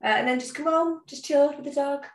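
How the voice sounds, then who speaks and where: Giggly high-voiced woman, echoey and off-mic. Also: steady tone, quick pace, far from the mic. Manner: giggly, rambling with lots of fillers. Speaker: podcast co-host. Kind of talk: casual podcast chat.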